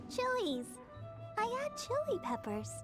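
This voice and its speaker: A woman's voice: extremely high pitch, heavily acted. A woman voicing a reserved, polite young woman in a fantasy game, mild and a little hesitant. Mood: amused. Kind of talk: video game cutscene voice acting. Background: music.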